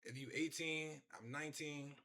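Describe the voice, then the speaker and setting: A man's voice: on the high side, fairly even intonation, normal speed, bright, forceful tone. A man in his twenties chatting casually on a live stream, rambling and repeating himself as he talks to his chat from his room. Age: 20s.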